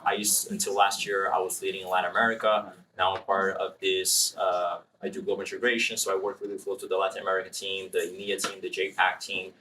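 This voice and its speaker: A man speaking as an interview guest in front of a student audience, relaxed and explanatory as he thinks aloud. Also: low-pitched. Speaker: marketing executive guest. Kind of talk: casual interview answers. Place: room with a live student audience. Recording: distant room mic, faint noise.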